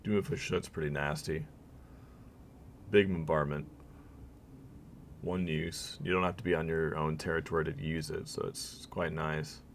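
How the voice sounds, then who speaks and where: A man with a very low voice, rough with vocal fry and weak from a long weekend of talking. He commentates his game live, musing aloud and reading bits of text to viewers. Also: fairly even intonation, measured pace, long pauses, a little hiss or hum. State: weak, worn-out voice. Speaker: streamer.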